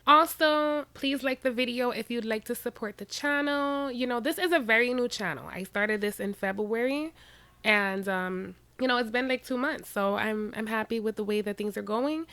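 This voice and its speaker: A woman hosting a reaction channel, giving sassy, animated commentary straight to her viewers. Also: on the high side, normal speed, no echo.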